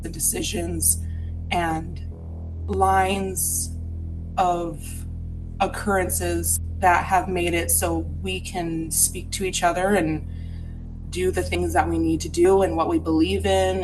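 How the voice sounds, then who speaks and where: A woman from Utah with a somewhat low voice tells a personal ghost story for a podcast. She sounds earnest and candid, reflective as she recalls it, with soft music faintly behind her. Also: little pitch movement, slow pace, rough recording.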